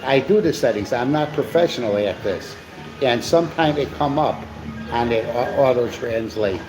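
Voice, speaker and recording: A man co-hosting a live stream, chatting in a rambling, preachy way with lots of stammered restarts. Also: medium pitch. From USA. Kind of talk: rambling casual chat. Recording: noisy live stream with background hum.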